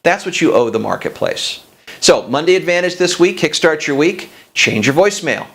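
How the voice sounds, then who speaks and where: A man's voice: a little high, very animated, moderate pace, a bit bright. Man coaching viewers in a directive way. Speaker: host of a business coaching show. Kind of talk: instructional coaching talk to viewers.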